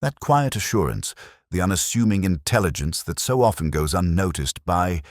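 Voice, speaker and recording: A man reading scripted first-person detective narration in a measured, reflective, literary manner. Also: deep voice, expressive, no echo, clean studio sound.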